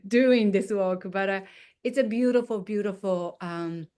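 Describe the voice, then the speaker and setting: A woman hosting a casual interview as a non-native English speaker, effusive, with halting phrasing. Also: medium pitch, mellow, smooth. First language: not English. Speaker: interview host, coach.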